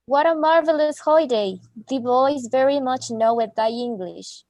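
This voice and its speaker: A woman's voice: high-pitched, normal speed, darkish tone, dry recording. A woman playing an exasperated young student in a radio play, declaiming in mock Shakespearean English. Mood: indignant, theatrical. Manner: declamatory, archaic phrasing.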